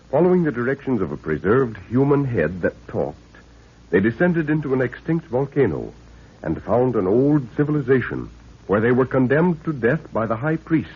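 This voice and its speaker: A grave, dramatic narrator for a vintage radio mystery. His voice is low and husky, delivering scripted lines portentously. The 1930s recording is very narrow and tinny. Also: exaggerated voice.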